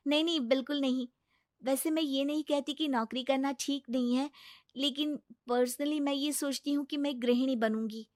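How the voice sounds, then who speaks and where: A woman voicing a gentle, earnest young daughter-in-law and new mother in a story. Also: sits high, steady tone, moderate pace, no room sound, clean studio sound, voice pushed up, close to an everyday voice. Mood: cheerful, modest.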